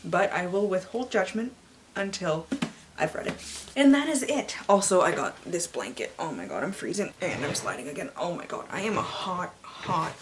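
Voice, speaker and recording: A woman's voice: on the low side, very animated, normal speed, noisy background. A woman hosting a book vlog, chatty and playful, with theatrical swings and joking asides to herself. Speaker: book vlogger and channel host. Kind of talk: casual chatty book haul vlog with hammed-up dramatic blurb readings.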